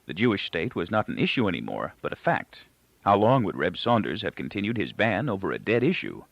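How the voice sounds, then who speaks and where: A man's voice: deep voice, sing-song, fairly quick, creaky, a bit bright, breathy edge, no room sound. A man reading literary fiction aloud as a scripted narration. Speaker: audiobook reader. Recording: dull, lo-fi, close dry recording.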